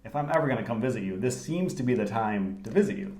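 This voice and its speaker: A man's voice: medium pitch, expressive, fast, darkish tone, echoey room. A man with an American accent, talking in a casual conversational way as a podcast host. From United States.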